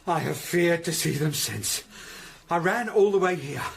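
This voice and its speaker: An actor playing an anxious Tudor printer in a radio drama, out of breath and urgent. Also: male voice, a little high, a little slow, vocal fry. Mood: frightened, urgent. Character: frightened, urgent male printer in Tudor London. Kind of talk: period radio drama acting.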